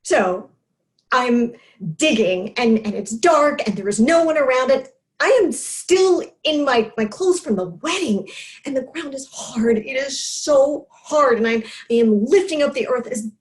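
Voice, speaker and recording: An actress plays a recovering alcoholic woman sharing her confession in a dramatic monologue. Her voice sits on the high side. She speaks haltingly and with raw feeling, weighed down by grief, pausing and repeating words. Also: close to an everyday voice. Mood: anguished, frantic. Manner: halting, emotional delivery with pauses and repeated words. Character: Peyton, grieving recovering alcoholic woman confessing at a support meeting. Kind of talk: dramatic stage monologue, first-person confession.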